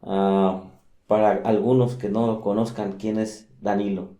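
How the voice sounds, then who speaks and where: Faith podcast host, male; low and mellow, echoey room sound. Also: level tone, measured pace. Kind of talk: podcast interview hosting.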